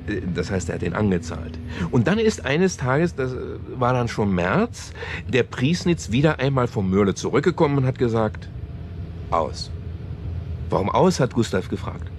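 An actor playing a man in a German radio play who haltingly retells a crime novel, often correcting himself. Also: medium pitch, sing-song, a little hiss or hum. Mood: absorbed storytelling. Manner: halting, self-correcting storyteller.

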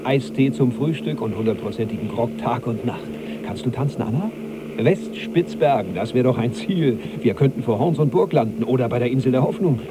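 An actor playing a brooding, restless and weary husband in a radio drama, with an understated manner. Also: male voice, mid-range voice, animated, brisk, heavy background noise, natural voice. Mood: dreamy.

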